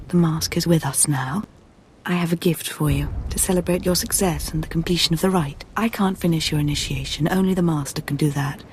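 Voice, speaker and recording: An actress playing a zealous, obsessive Sith cultist in a video game, speaking with reverent, hushed intensity. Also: female voice, low voice, slight background noise, natural voice. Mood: gentle, condescending. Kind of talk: video game cutscene acting.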